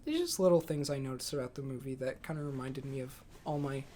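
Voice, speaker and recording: A man's voice: medium pitch, fast, a little mellow. A young man talking casually as a podcast host about films, in an informal conversational style. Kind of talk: casual movie chat. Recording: clear background room noise.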